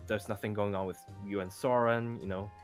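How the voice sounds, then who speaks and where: Earnest young male best friend played by a man, tender and halting, game roleplay, music behind. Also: on the low side, fairly even intonation, lightly acted. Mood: reassuring. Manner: halting, repeats words, pads with "you know". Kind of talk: improvised Minecraft roleplay acting.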